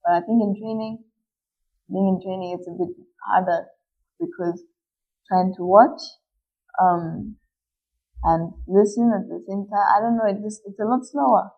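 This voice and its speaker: A woman's voice: medium pitch, normal speed, long pauses. A young woman in her late teens from Malawi, answering interview questions shyly and hesitantly, with many fillers and restarts. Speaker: footballer guest. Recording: dull lo-fi recording.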